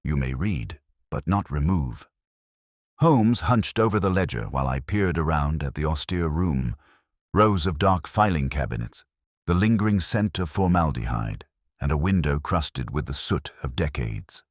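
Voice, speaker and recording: A man with an extremely deep voice narrates a mystery tale in a measured, literary way. His pitch moves in lively swings, and the sound is dry and close. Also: dry recording. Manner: measured, formal, literary delivery. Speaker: audiobook narrator. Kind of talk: scripted mystery story narration.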